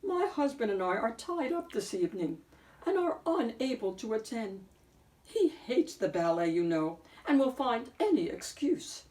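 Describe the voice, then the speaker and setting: A woman's voice: on the high side, very animated, measured pace, mellow, distant mic, pitched above the performer's own voice, poor audio. A woman voicing a fat old society sow in a picture book, genteel, airy and chatty.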